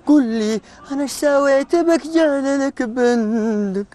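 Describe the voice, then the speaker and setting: A man's voice: very high-pitched, normal speed, warm tone. A man with a Gulf Arabic accent, a reality show contestant, playfully reciting lines of verse in casual chat outdoors.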